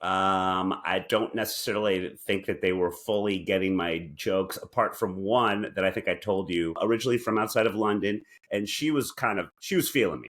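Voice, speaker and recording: A man with a fairly low voice, talking as a podcast co-host. His delivery is casual comedic banter, teasing and playfully full of himself. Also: normal speed, hardly any pauses, a bit bright. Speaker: walking tour guide.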